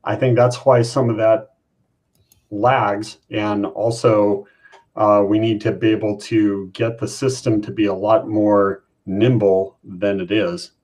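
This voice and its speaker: Man co-host, husky low voice, very slow, thinking aloud. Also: flat delivery, a little mellow. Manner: thoughtful, hesitant, many fillers. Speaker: co-host, college IT lead. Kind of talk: casual panel conversation.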